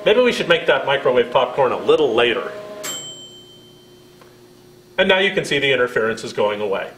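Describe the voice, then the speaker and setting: A man's voice: medium pitch, very animated, brisk, fairly bright tone, echoey room, a little hiss or hum. A man in his fifties with an American accent gives a scripted product demonstration in a friendly, instructional way. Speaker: product presenter.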